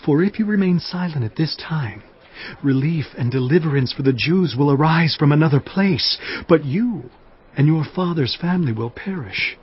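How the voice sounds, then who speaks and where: A stern elder kinsman in a scripture audio drama, urgent and admonishing. His husky voice is pitched fairly high, and the recording is a touch dull. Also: animated, normal speed. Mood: urgent warning.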